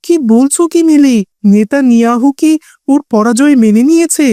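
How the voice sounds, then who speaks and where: A woman's voice: high voice, normal speed, mellow, no echo, clean studio sound, close to an everyday voice. A woman voicing an earnest, exclamatory young refugee boy in a cartoon story, full of amazement and hope. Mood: amazed, hopeful.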